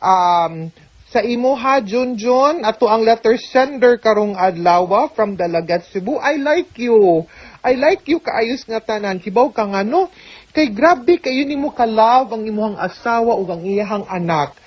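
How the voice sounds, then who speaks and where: Expressive, clear-voiced Cebuano Filipina radio host. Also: female voice, on the high side, unhurried, highs cut off. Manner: mixes English into Cebuano, often adds tag questions. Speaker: radio legal advice host and attorney. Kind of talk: chatty advice talk, reading and answering a listener letter.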